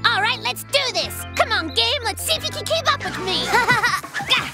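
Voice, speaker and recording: A woman voicing an excitable, boastful young male bear cub in a children's cartoon, with slangy, dramatic delivery. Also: very high voice, normal speed, creaky, heavily acted. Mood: thrilled. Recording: music behind the voice.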